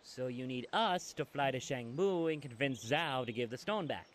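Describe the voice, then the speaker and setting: A man voicing a confident, energetic animal commando in a video game. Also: medium pitch, normal speed, slight background noise, close to an everyday voice.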